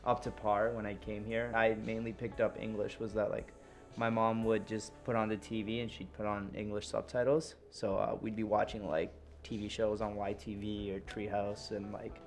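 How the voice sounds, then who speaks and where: A male student from India talking quickly and casually at a roundtable. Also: on the low side, little pitch movement, soft background music.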